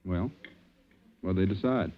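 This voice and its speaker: An actor playing a wry, casual police detective in a 1940s radio drama. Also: male voice, deep voice, unhurried, highs cut off. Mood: casual, concerned. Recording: noisy vintage 1940s radio recording.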